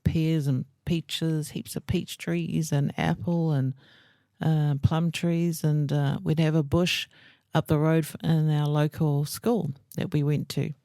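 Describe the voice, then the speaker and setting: Middle-aged New Zealand woman, slow and gravelly, fond storyteller, dry close sound. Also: low-pitched, level tone, mellow, dry recording. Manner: unhurried, reminiscing, fond. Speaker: guest, host's mother. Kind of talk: casual interview.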